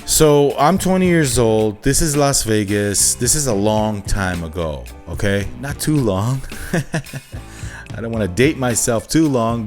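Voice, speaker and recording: A man's voice: mid-range voice, a little mellow. A middle-aged man telling a casual storytime monologue, chatty, often checking in with "okay?" and "you know". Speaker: storyteller, channel host.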